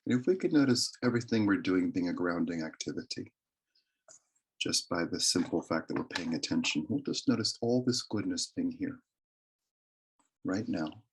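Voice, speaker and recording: A man leading a guided meditation with prayer and affirmations, speaking in a soothing, deliberate, reverent way. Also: low voice, animated, husky. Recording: live recording.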